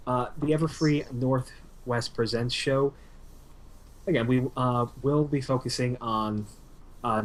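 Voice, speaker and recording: A man co-hosting a live radio podcast, speaking haltingly with many fillers. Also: medium pitch, rough recording. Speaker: co-host. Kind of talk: casual live podcast chat.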